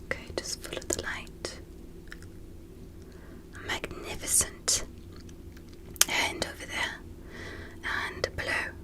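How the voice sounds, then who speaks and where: A woman playing a curious, easily amazed alien doctor in an ASMR medical roleplay, whispering gentle, methodical step-by-step instructions. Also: slow, pauses often, natural voice, rough recording. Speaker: ASMR roleplay performer as examiner.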